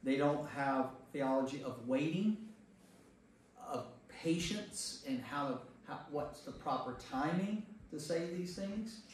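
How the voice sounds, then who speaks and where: A man's voice: fairly high pitch, slow. An American man, a pastor leading an informal Bible study, talking conversationally and repeating phrases for emphasis. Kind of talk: informal group teaching and discussion. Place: echoey indoor group meeting room. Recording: echoey room, distant mic, faint noise.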